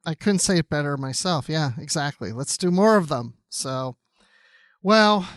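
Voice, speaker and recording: A man's voice: on the high side, lively intonation, measured pace, no echo, clean studio sound. A man co-hosting a podcast in casual, chatty conversation, readily agreeing with his partner. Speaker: podcast co-host.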